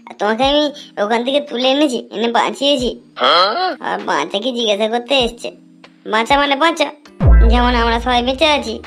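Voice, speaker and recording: Cartoon role: feisty, scolding young village woman female performer, high-pitched, blunt and rough-tongued, over a music bed. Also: brisk, long pauses, a bit bright. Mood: irritated scolding. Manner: scolding, blunt, grumbling, self-talking. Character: brash, foul-mouthed young village clothes-seller woman. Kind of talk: cartoon dialogue.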